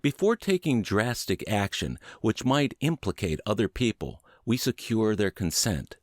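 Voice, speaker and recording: A mature man reading a book aloud as scripted narration, in measured, deliberate phrases with frequent pauses. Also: on the low side, big pitch swings, no room sound, clean studio sound. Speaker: podcast narrator, reader.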